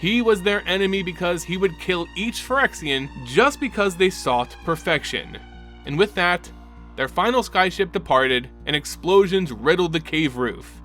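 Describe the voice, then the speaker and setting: A man narrating a fantasy story with an animated, emphatic delivery, then hosting his channel's wrap-up. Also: high voice, moderate pace, faint music behind. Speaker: lore channel host.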